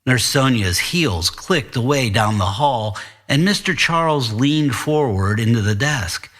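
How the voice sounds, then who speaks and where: Husky, measured man narrating fiction. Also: mid-range voice, fairly bright tone. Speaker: author and podcast narrator. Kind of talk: scripted first-person fiction narration.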